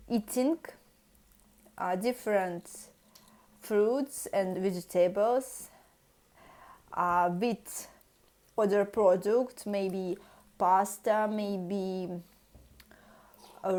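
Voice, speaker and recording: Woman, Russian accent, very slow, hesitant, searching for words, lots of fillers. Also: medium pitch, warm tone. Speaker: vlogger. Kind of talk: halting casual talk in a second language.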